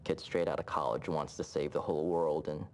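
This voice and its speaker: Deep-voiced young man over faint rumble. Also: fairly even intonation, a little fast. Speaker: war veteran interviewee. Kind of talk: candid documentary interview. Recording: low rumbling background noise.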